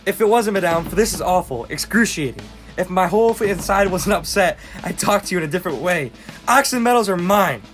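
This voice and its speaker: An actor playing a nervous, touchy hypochondriac landowner in a stage comedy, speaking in a fussy, formal way that stumbles when agitated. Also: male voice, fairly high pitch, fast talker, soft background music, close to an everyday voice. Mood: outraged. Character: nervous, touchy hypochondriac landowner, a male suitor prone to outrage.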